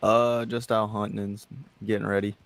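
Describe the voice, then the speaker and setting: Man playing a low gravelly laid-back outlaw. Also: level tone, brisk. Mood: laid-back, guarded. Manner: folksy, hesitant, guarded. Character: laid-back, cagey male Wild West outlaw. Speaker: online roleplay player. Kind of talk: improvised in-character game chat.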